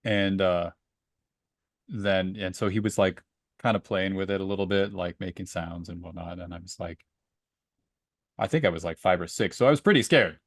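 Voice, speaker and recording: Low-voiced man telling casual anecdotes. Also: normal speed, long pauses, dry recording, studio quality. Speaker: podcast co-host and story reader. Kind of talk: casual book discussion with personal anecdotes.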